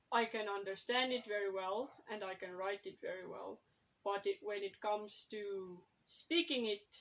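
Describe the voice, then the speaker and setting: Woman, unhurried pace, casual vlog talk, hesitant, thinks aloud. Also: medium pitch, low-quality recording. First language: Afrikaans. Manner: consults her notes while talking. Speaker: writer and vlogger.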